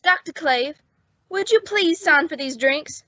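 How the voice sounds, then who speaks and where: Woman playing an eager, high-pitched bellboy. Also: animated, unhurried, fairly bright tone, no room sound, muffled recording. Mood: earnest. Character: eager, earnest young bellboy sidekick. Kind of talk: old-time radio comedy drama acting.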